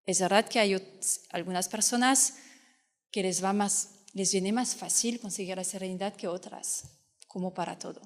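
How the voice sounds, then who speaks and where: A woman's voice: mid-range voice, normal speed. A woman from France with a French accent, speaking Spanish as a non-native and giving an earnest conference talk as a fertility coach. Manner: earnest, explanatory, with frequent restarts. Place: hall at a fertility fair. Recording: hall reverb.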